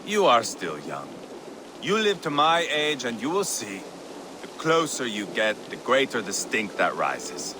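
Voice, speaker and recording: An actor playing a ruthless, mocking Viking warrior with a sardonic, taunting manner in a video game. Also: male voice, medium pitch, huge pitch swings, a little slow. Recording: noisy outdoor ambience behind.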